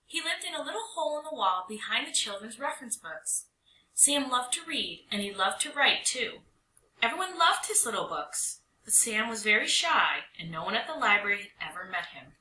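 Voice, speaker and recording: Bright, animated woman reading a storybook. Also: fairly high pitch, moderate pace. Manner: expressive, animated storytelling delivery. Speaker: storybook reader. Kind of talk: children's picture book read-aloud. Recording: roomy echo, distant mic, faint noise.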